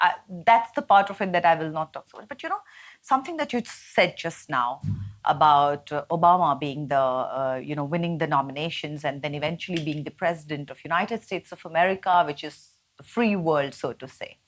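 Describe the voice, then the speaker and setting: Low-voiced woman host. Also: a little fast. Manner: warm, enthusiastic, affirming. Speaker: book club host. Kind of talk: hosted interview.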